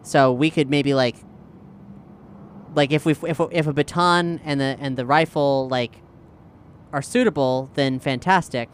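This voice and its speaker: A man chatting casually as a tabletop game player, thinking aloud in a halting way. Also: medium pitch, a little hiss or hum.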